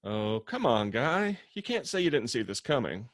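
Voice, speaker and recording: A man's voice: mid-range voice, sing-song, normal speed, no echo, studio quality, close to an everyday voice. A man playing a sardonic, blunt young roommate in a comedy table read. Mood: teasing. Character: dry, sarcastic young male roommate, bluntly supportive.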